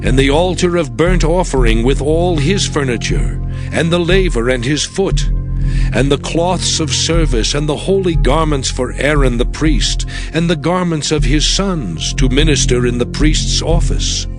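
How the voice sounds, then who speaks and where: An actor playing a solemn proclaimer of divine law in a dramatized scripture reading, deliberate and declamatory. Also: male voice, a little high, lightly acted. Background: music.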